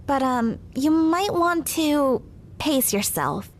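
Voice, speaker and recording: A woman's voice: high voice, animated, slow. A woman playing a wry, casual young co-counsel in an audio comedy drama. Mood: wry, cautioning. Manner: casual, dry. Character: wry, unfussed young co-counsel tempering an overexcited colleague.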